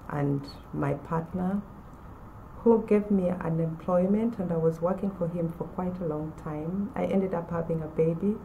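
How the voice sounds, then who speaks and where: A woman in her forties from Uganda, telling her own story in a measured way with pauses. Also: lowish voice, creaky, dark tone, slight background noise, poor audio. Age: 40s. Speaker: former college student. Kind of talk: personal testimonial to camera.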